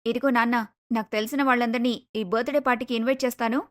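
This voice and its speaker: A woman's voice: on the high side, fairly even intonation, racing, a bit bright, lightly acted. A woman voicing an arrogant, spoiled young adult daughter in a cartoon moral story, with a haughty, bossy manner. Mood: delighted, gushing.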